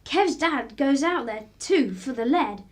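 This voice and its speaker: A woman's voice: high-pitched, lively intonation, a little slow. A woman playing an eager, cheeky young boy with a childlike, matter-of-fact manner in a radio drama.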